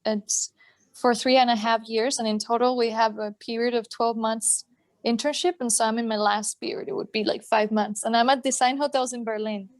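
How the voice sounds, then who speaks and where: A woman's voice: on the high side, steady tone, moderate pace, no echo. Chatty young Spanish-accented woman. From Jerez, Andalusia, Spain. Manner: chatty, with hesitant fillers and restarts. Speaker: student guest. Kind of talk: casual video-call chat. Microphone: video call from work.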